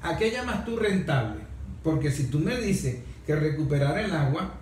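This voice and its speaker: High-voiced Venezuelan man, very echoey room. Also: animated, moderate pace, a little mellow. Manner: opinionated, rhetorical questioning. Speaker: moderator. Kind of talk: panel discussion, moderating. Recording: very echoey room, faint noise.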